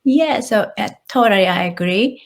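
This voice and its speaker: A Japanese woman in her thirties, speaking English with a Japanese accent as an interview guest, thoughtful and relaxed with small restarts. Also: medium pitch, moderate pace. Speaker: guest, author and illustrator. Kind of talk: casual interview conversation.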